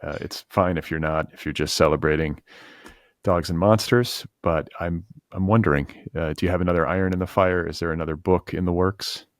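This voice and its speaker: A man's voice: deep voice, steady tone, fairly quick, no echo, clean studio sound. A man hosting a conversational podcast interview, reflective and feeling his way through long, tentative questions. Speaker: podcast host interviewing a writer.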